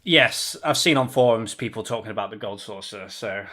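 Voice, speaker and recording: A man's voice: on the low side, moderate pace, sharp tone. A man chatting informally as a panelist in a podcast fan discussion.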